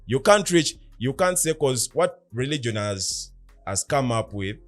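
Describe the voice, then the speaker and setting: A man's voice: mid-range voice, lively intonation, a little slow, faint music behind. A man in casual philosophical podcast talk, explaining earnestly and at length with rhetorical questions. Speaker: podcast co-host.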